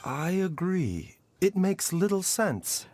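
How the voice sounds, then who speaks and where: An actor playing a calm, polite doctor in a game, speaking in a measured, formal way. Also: male voice, high-pitched, a little hiss or hum, heavily acted. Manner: soft-spoken, measured, formal. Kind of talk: game dialogue. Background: faint game music.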